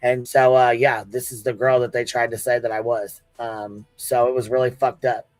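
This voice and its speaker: A man's voice: medium pitch, little pitch movement, moderate pace, no room sound, faint music behind. A man with an American accent doing casual livestream commentary, telling a story in informal, sweary, slangy talk.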